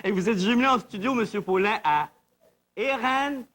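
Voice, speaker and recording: A man's voice: high voice, moderate pace, a bit bright, slight background noise. A man hosting a television game show in a chatty, jovial, exclamatory manner. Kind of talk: live presenting, chatty. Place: television studio.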